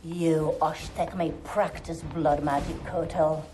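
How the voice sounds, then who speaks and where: A woman's voice: low-pitched, steady tone, takes it slowly, poor audio. A woman playing a sly, haughty assassin in a video game, speaking in a menacing, taunting way. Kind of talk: dramatic video game villain acting. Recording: music behind the voice.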